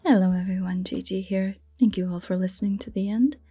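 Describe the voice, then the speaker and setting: A woman's voice: mid-range voice, steady tone, brisk, warm tone, husky. A woman audio performer speaking casually as herself in an outro, thanking her listeners and supporters.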